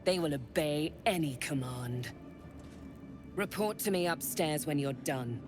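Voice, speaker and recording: A woman's voice: sits low, expressive, a little slow, over music. An actress playing a stern, authoritative female cult commander in a fantasy game, formal and clipped. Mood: imperious. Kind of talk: dramatic fantasy game acting.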